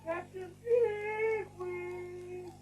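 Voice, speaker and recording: Eerie, very high wailing voice. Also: female voice, fairly even intonation, heavily acted. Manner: drawn-out wailing calls. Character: eerie wailing voice calling out in the dark. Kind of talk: audio drama acting. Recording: music behind the voice.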